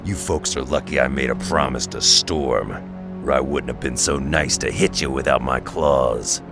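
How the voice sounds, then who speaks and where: A man playing a very deep, gravelly tough-guy hero. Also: moderate pace, loud music behind the voice. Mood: menacing. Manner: blunt, growling, street-tough. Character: gruff, tough, cynical middle-aged mutant brawler hero. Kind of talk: audio drama acting.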